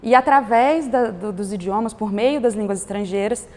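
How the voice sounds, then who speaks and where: Female panel guest, explanatory, mid-pitched, roomy hall sound. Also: moderate pace, darkish tone. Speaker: guest, director of a cultural organization. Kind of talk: panel talk. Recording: hall reverb.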